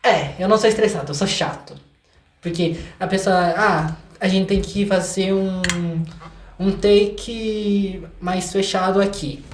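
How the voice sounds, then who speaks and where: A young Brazilian man chatting casually as an interview guest, an animated storyteller who acts out quotes. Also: high-pitched, unhurried. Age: young adult. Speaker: guest, singer and artist. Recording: echoey room with some background noise.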